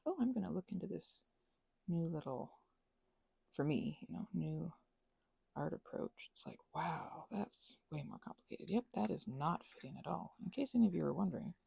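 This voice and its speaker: A woman rambling casually as she thinks aloud over a craft, halting and murmuring to herself, with playful asides to listeners. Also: on the low side, lively intonation, gravelly, dark tone, slightly rough, no echo. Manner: halting, drifts into murmurs and self-talk. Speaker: ASMR and audio creator.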